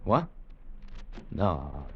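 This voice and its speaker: An actor playing a gruff, sarcastic frontier cavalry soldier with an American accent, blunt and needling, in old-time radio drama. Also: male voice, extremely low pitch, huge pitch swings, very slow, exaggerated voice. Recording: dull vintage radio recording.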